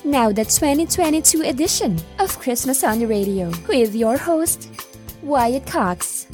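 High-pitched woman announcing a radio promo. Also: animated, a little slow, a little mellow, soft background music. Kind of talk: scripted station promo.

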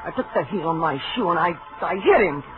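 An actress playing a brash, skittish young stage dancer in a 1940s radio thriller, with defensive, slangy talk. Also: female voice, lowish voice, expressive, a little fast, lightly acted. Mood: angry. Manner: brassy, defensive, slangy show-business talk. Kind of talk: 1940s radio suspense acting. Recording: dull 1940s radio recording, music behind.

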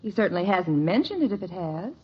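A woman's voice: on the low side, big pitch swings, a little fast, slightly rough, exaggerated voice. An actress playing a sensible, composed, middle-aged American wife and mother in a 1950s radio sitcom, wryly amused. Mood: puzzled. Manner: wry, composed. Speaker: actor in a family situation comedy. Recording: muffled, noisy old radio recording.

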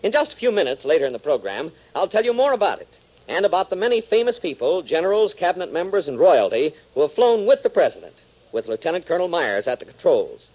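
A man's voice: a little high, big pitch swings, fast talker, highs cut off. A man announcing and narrating a 1940s radio adventure, emphatic and addressing young listeners directly.

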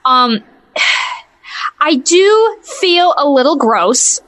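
A woman's voice: high-pitched, sing-song, drawn-out words, fairly bright tone, poor audio. A woman in her twenties co-hosting a gaming talk show, chatting casually and thinking aloud in winding, hedged sentences. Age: 20s. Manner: rambling, hedging, thinks aloud. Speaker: co-host of a video game talk show. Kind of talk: casual opinionated chat.

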